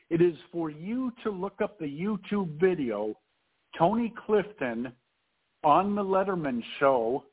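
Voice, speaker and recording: A man hosting a radio interview, talking in an upbeat, lively way. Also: sits high. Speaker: host. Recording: tinny phone-like line.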